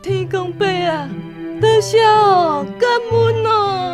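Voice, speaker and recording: A woman's voice: very high-pitched, slow pace, faint music behind. An actress with a Taiwanese accent playing a devout, emotional countrywoman in a radio drama. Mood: grateful, overwhelmed.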